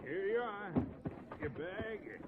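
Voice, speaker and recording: An actor playing a chatty, chuckling small-town Western porter with folksy speech in a 1950s radio drama, heard through a tinny, hissy old recording. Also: male voice, sits high, takes it slowly, over loud music.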